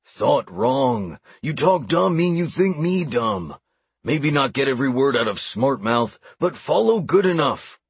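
A male narrator plays an old orc shaman from a fantasy audiobook, gruff and blunt, with a heavy heart. His grammar is primitive and clipped, and the voice is slightly unclear and recorded very dry. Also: mid-range voice, big pitch swings, measured pace, no room sound, pitched above the performer's own voice, exaggerated voice. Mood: angry, snarling. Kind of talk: audiobook character voice.

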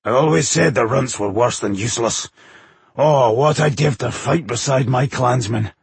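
A man voicing a hot-blooded, battle-hungry dwarf warrior in a fantasy audiobook, boisterous and forceful. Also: medium pitch, animated, moderate pace, husky, no echo. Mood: excited glee.

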